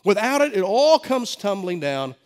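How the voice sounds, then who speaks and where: A man's voice: high voice, big pitch swings, moderate pace. A middle-aged male pastor with a head cold, preaching a sermon with emphatic stresses and stammered restarts. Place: church sanctuary. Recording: long hall reverb.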